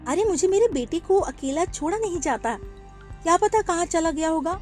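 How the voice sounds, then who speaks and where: A cartoon mother sparrow, put on by a woman, frets over her little one in a high voice. The delivery swoops a lot and stays emotional and sing-song, with faint music underneath. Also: animated, normal speed, a little mellow. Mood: worried. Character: loving, anxious young mother sparrow.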